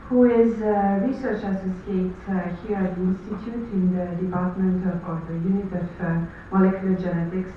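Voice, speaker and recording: A woman's voice: medium pitch, a little hiss or hum. A woman introduces a guest speaker to a class, hesitant and full of fillers. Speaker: course instructor introducing a guest. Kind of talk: lecture introduction. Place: large lecture hall, indoors. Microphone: camera far from speaker. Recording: muffled, far-off, very echoey hall.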